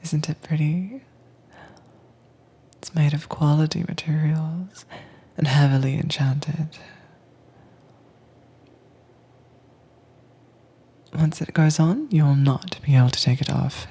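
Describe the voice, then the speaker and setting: Woman acting a breathy, whispering, dominant monster tamer. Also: low-pitched, steady tone, mellow, heavily acted. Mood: coaxing, firm. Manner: slow, coaxing, commanding. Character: calm, teasingly dominant woman who tames captive werewolves. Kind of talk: soft-spoken whispered roleplay audio, ASMR.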